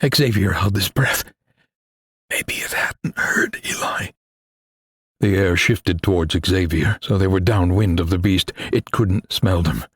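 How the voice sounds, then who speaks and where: A man reading a suspenseful adventure story as an audiobook narrator, in a grave, deliberate, dramatic manner. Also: low-pitched, breathy edge, no echo, studio quality.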